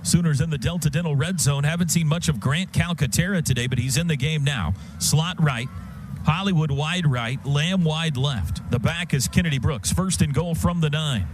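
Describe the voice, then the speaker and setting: A man's voice: fairly high pitch, normal speed, noisy background. A man doing live radio play-by-play of a football game, describing each play in clipped, vivid phrases. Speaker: play-by-play announcer. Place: stadium radio booth. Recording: radio broadcast over loud stadium sound.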